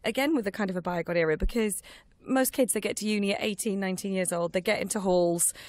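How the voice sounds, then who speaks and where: A woman's voice: medium pitch, quick pace, darkish tone, no room sound. A woman hosting a chatty, informal radio interview, putting questions and comments to a guest.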